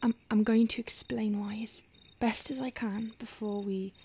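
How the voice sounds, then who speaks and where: A woman playing an ancient, secretive vampire in a whispered roleplay ASMR, hushed and halting with deliberate pauses. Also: on the high side, steady tone, lightly acted. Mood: grave, confiding. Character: ancient female vampire, secretive, urgent, weary, protective. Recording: faint hiss, outdoor night insects.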